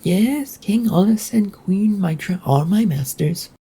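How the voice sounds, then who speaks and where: Narrator putting on a kindly old woman cook, high-pitched, motherly, gleeful, fantasy story. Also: lively intonation, slow pace, warm tone, slightly rough, exaggerated voice. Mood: kindly, assured. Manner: kindly, motherly, food-obsessed. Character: gentle, gleeful old lady castle cook.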